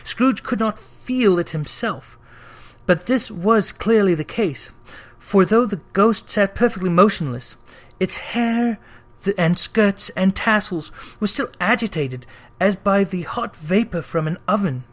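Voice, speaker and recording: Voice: mid-range voice, animated, measured pace. A narrator reading a classic tale aloud as dramatic storybook narration. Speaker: narrator, reader.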